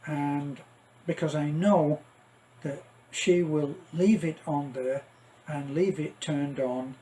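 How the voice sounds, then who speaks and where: Slow-talking older man, wry and rambling. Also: on the high side, warm tone, rough recording. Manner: wry, self-deprecating, wandering asides. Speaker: vlogger. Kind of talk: casual rambling vlog chat to viewers.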